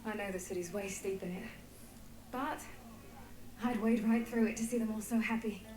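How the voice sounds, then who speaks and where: An actress playing a loyal, sentimental subordinate in a video game, informal and heartfelt. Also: female voice, mid-range voice, moderate pace, a little mellow, echoey room, natural voice, low-quality recording. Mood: fond, wistful. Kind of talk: dramatic video game voice acting.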